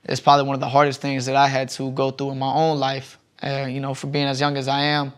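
A man's voice: medium pitch, completely flat delivery, fast talker. A young man guesting on a podcast, telling rambling stories in casual chat with many restarts, on a lapel mic in a studio lounge. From Southern United States. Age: young adult.

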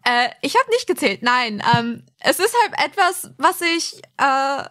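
A woman's voice: high voice, expressive, unhurried, fairly bright tone. A young woman co-hosting a podcast, speaking in an informal, frank, chatty way. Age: young adult. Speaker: podcast host. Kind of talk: casual podcast chat.

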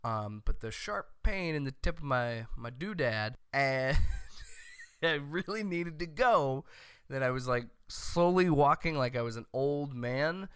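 An American man in his thirties hosting a sports show, loud and opinionated in a comedic commentary style. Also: medium pitch, animated, measured pace, clear, resonant tone, no room sound, studio quality. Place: small home room, indoors. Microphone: close desk microphone on boom arm.

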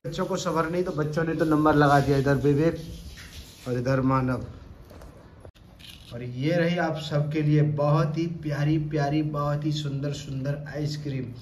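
A man's voice: on the high side, steady tone, normal speed, darkish tone. Guy in his 30s doing a chatty kitchen cooking vlog, echoey tiled room, bit of hiss. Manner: chatty, addresses viewers as friends. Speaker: cooking vlogger. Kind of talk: casual home cooking demo. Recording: roomy tiled kitchen, faint background noise.

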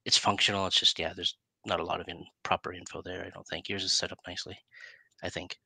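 A man's voice: deep voice, level tone, quick pace, vocal fry, sharp tone, breathy edge, no room sound. A middle-aged man hosting a casual interview, speaking into a headset. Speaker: interview host. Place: small room indoors. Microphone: headset on a video call.

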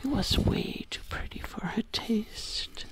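A woman voicing a sly, menacing witch in a close-miked ASMR roleplay, in a breathy whisper, lingering on and repeating words. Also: takes it slowly, close to an everyday voice. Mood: eerie, storytelling. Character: sly, menacing witch who hunts victims for their bodies. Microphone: close microphone.

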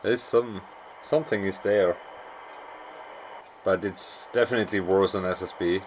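Very deep-voiced man, noisy workshop recording. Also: a little slow. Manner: matter-of-fact, thinking aloud. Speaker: amateur radio repairer presenting. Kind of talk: unscripted hands-on technical walkthrough. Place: small indoor workshop at an electronics bench. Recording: noisy camera mic in workshop.

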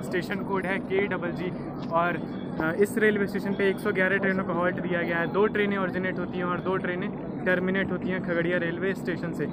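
A young man with a high, fairly flat voice talks into a phone held at arm's length, explaining stations and halts in an informative travel-vlog manner. Loud train and platform noise sits under his voice. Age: young adult. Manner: informative, rattles off station facts and numbers. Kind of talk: casual travel vlog narration. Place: outdoors on railway platforms and at the train door. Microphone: phone held at arm's length, selfie framing.

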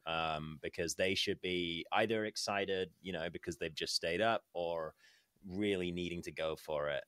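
A man's voice: deep voice, fairly bright tone, no room sound, studio quality. A man co-hosting a football podcast, talking loosely and hedging with "like" and "I think". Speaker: podcast co-host. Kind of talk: casual football podcast chat.

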